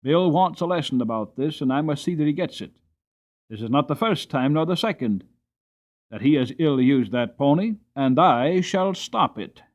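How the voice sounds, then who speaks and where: Man as a firm farmer father, highish voice. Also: expressive, normal speed, lightly acted. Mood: stern.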